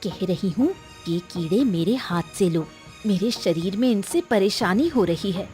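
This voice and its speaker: A woman plays a squeamish, nagging cartoon wife. Her mid-pitched voice is fretful and insistent as she complains, with soft music behind her. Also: lively intonation, measured pace, natural voice. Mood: annoyed. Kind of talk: Hindi moral-story cartoon dubbing.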